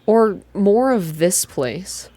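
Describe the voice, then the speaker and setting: A woman's voice: mid-range voice, animated, takes it slowly, dark tone. Woman playing a candid, curious young heroine. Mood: earnest.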